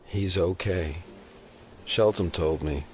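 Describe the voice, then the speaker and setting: An actor playing a wry, stubborn federal agent in an audio drama, with a dry, wisecracking delivery. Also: male voice, low-pitched, slow, a little mellow, faint music behind. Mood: earnest. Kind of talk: naturalistic audio drama acting.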